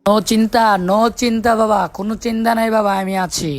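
Fast-talking, insistent Bangladeshi man, light voice. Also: high voice, flat delivery, no room sound. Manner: insistent, persuading, repetitive appeals. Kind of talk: casual conversation.

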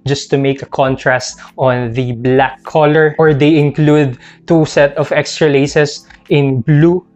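A man's voice: on the high side, a little mellow. A man in his thirties presenting a scripted product review as a sneaker channel host. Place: small indoor room with sneaker shelves. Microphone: clip-on lapel mic. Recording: muffled lo-fi audio, music underneath. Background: background music.

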